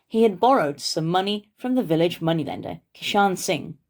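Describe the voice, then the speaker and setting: A woman's voice: fairly low pitch, animated, moderate pace, darkish tone. A woman narrating a story for children as the host of a read-aloud show.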